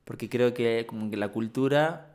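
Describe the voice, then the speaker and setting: A man speaking as a poet guest in a reflective conversation, thoughtful and halting with many restarts. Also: mid-range voice, darkish tone.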